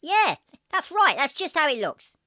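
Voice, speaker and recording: A performer with a woman's pitch voicing a goofy, doubtful little squirrel sidekick in a children's cartoon, cheeky and childlike. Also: sing-song, a little slow, sharp tone, no echo, studio quality, exaggerated voice. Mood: curious, pleased. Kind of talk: children's cartoon character banter.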